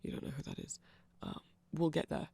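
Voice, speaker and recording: A performer voicing a nerdy vampire in a casual ASMR roleplay, explaining things in a hesitant, digressive, conversational way with a creaky voice. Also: brisk, warm tone, no room sound, close to an everyday voice. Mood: nerdy, rambling. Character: nerdy rambling vampire, eager fan explaining band lore.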